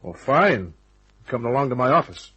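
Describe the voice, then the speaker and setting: An actor playing a wry, cocky private detective, narrating and talking in a dry, laconic old-time radio style. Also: male voice, mid-range voice, big pitch swings, normal speed, sharp tone, husky, no room sound, lo-fi sound, heavily acted. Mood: brisk, cocky.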